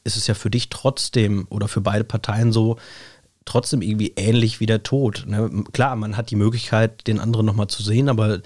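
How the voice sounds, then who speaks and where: A man, hoarse with a cold, musing in a relaxed chat and padding his talk with fillers and tag questions. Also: on the low side, a little fast, dry recording, clean studio sound. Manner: thoughtful, rambling, many fillers and tag questions. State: hoarse with a cold, scratchy throat. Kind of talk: reflective casual chat.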